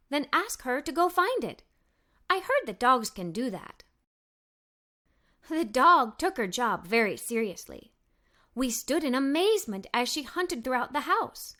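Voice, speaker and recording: A woman narrating a children's story as a read-aloud, with animated, expressive delivery. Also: sits high, unhurried.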